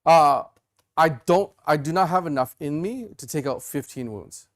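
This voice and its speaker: A man's voice: on the high side, normal speed. A man playing a tabletop wargame, casually talking through his moves and thinking aloud. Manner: thinks aloud with many fillers and restarts.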